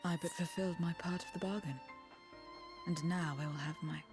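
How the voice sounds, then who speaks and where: A woman's voice: on the low side, fairly even intonation, fairly quick, close to an everyday voice. An actress playing a stoic, detached female warrior in a video game, formal and reserved in her speech. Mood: weary, faltering. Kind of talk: video game cutscene acting. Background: orchestral game music with strings.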